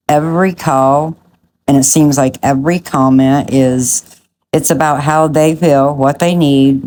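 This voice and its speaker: Low-voiced woman giving blunt commentary. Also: warm tone, slight background noise, low-quality recording. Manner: blunt, emphatic, judgmental. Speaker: true crime commentator. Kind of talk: conversational true crime commentary.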